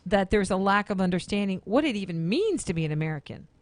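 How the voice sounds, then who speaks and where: A woman's voice: mid-range voice, big pitch swings, fast, no room sound. A woman co-hosting a radio talk show from the studio, chatty and teasing with her guest. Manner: teasing, joking. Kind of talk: casual radio chat.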